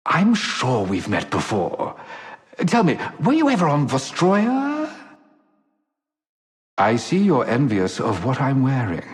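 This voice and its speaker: An actor playing a vain, teasing sci-fi rogue in a video game, with a theatrical, genteel, condescending delivery. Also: male voice, medium pitch, huge pitch swings, slow pace, pauses often. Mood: teasing, haughty. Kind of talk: video game character voice lines. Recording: long hall-like reverb.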